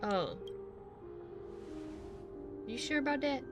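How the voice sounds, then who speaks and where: A woman's voice: sits high, animated, takes it slowly, music in the background. A woman streaming a game with casual commentary, giving short offhand reactions and reading choices aloud.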